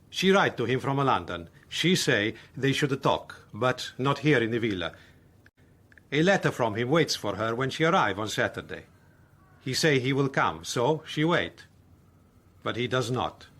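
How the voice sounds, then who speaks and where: A man playing a courteous, grave Italian who speaks halting, slightly broken English in a radio drama. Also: medium pitch, expressive, a bit bright. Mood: grave, measured.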